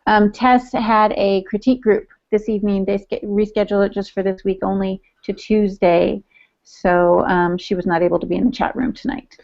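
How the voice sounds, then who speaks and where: A woman's voice: medium pitch, fairly even intonation, normal speed, vocal fry, darkish tone. A middle-aged American woman chatting casually as a podcast co-host over a video call. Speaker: podcast co-host relaying chat room. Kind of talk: casual chat. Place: small room at home. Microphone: earbud headset on video call. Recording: dull video-call audio.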